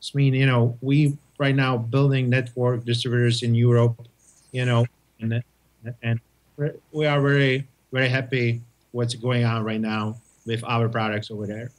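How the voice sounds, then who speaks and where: A man from Czechoslovakia with a Czech accent, answering interview questions in halting English full of restarts. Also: medium pitch, level tone. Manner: halting, many restarts and repeated words. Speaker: guest, testing company representative.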